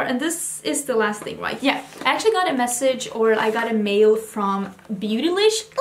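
A woman's voice: high-pitched, echoey room, slight background noise. A woman in her thirties from Sweden with a Swedish accent, chatting enthusiastically in a beauty haul video at home, often restarting words. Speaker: beauty vlogger. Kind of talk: casual haul video talk. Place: home room with vanity lights.

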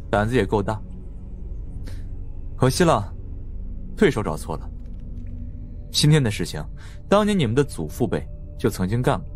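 A man acts a stern male victor, condescending as a schoolmaster scolding pupils. His low voice moves with lively inflection over music. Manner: lecturing like a teacher.

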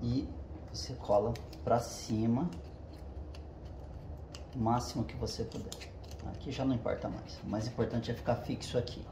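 A man's voice: medium pitch, steady tone, normal speed, creaky, pauses often, mellow, a little hiss or hum. Man demonstrating a task in an echoey garage. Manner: explains step by step while working with his hands, often checking with "tá?". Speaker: channel host. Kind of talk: casual how-to demonstration. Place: home garage with the door open.

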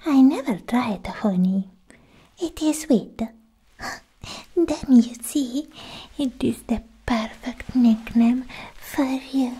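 A very breathy, whispering alien girlfriend, played by a young woman, soft and mellow in tone. She coos extremely slowly and close to the mic, lovestruck and possessive, in an intimate ASMR roleplay style. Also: sits high, exaggerated voice. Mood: adoring, cooing. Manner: slow, cooing, doting. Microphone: close ASMR microphone.

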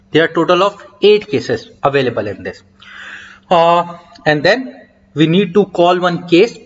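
A man giving a step-by-step software tutorial over a screen recording, talking in an explanatory way with frequent hesitant fillers. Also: on the high side. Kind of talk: screen-recorded coding walkthrough. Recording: dull lo-fi mic.

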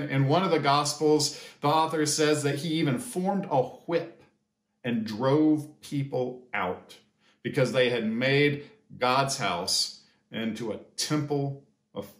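An American man in his fifties giving a measured, sermon-like pastoral address with deliberate pauses. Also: mid-range voice. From United States. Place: small indoor sitting room or office. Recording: echoey room.

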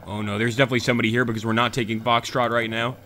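A man's voice: medium pitch, level tone, rapid-fire, sharp tone, slight background noise. A man hosting a gaming channel, talking casually over gameplay and answering live chat comments.

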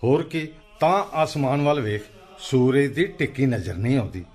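A man's voice: mid-range voice, expressive, normal speed, a little mellow, close to an everyday voice. An actor playing an earnest, determined village man in a radio play, speaking rustic colloquial Punjabi-accented speech. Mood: somber, resigned. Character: grieving, determined village man urging action against smoke.

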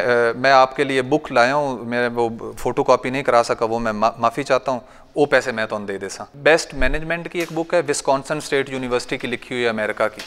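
Punjabi-accented farmer lecturing in a hall. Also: male voice, mid-range voice, a bit bright, clear, resonant tone. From Pakistan. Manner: conversational, anecdotal, recites couplets and quotes elders. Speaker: goat farmer giving a workshop talk. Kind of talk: informal training talk. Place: large bright training hall with a seated audience. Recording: reverberant hall.

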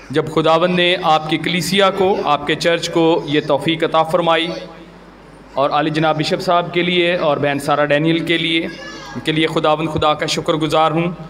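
A man with a Pakistani accent speaking as a pastor, giving formal greetings and scripture in solemn, deliberate phrases. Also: a little high, fairly bright tone. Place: church gathering. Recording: noisy live church recording.

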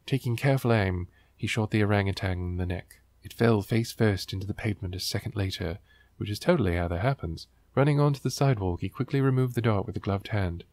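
Snarky man, low gravelly voice. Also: quick pace, a little mellow, no echo, studio quality. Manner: snarky, exasperated, wry. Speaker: host of a book riffing show. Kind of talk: sarcastic reading aloud with critical commentary.

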